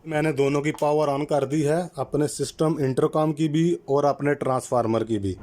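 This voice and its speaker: A man with a slightly high voice walks through a technical installation one step at a time. He is methodical and instructional, restating key terms so each step is clear. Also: fairly even intonation, normal speed, darkish tone. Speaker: electrician presenting a how-to channel. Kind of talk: step-by-step product installation tutorial.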